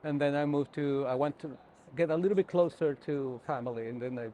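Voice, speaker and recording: A Mexican-accented man, native Spanish speaker, the academic guest at a noisy conference booth, haltingly explaining his work in a lightish high voice. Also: dark tone. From northern Mexico. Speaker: university researcher, podcast guest. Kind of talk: conversational interview answers. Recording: clear background noise, ambience.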